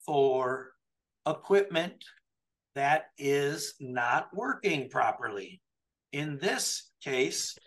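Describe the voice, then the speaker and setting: A man's voice: fairly high pitch, a bit bright, poor audio. A man in his forties coaching over a video call from a home office, emphatic, and he keeps checking in with "right?". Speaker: trucking compliance coach. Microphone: webcam video call.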